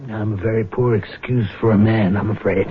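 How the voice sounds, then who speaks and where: An actor in a 1970s radio drama playing a weary, secretive middle-aged husband, bitter and hesitant, with a husky voice. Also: male voice, on the low side, steady tone, phone-quality sound, background noise, lightly acted. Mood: dejected. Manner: hesitant, evasive, halting. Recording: band-limited vintage radio broadcast.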